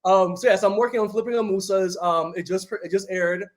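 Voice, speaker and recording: A man's voice: sits high, brisk. Chatty young New man on a call. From New York City. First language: Spanish. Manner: relaxed, chatty, many "like" fillers. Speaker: guest. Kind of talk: casual interview chat. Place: home room, remote video call. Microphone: video call.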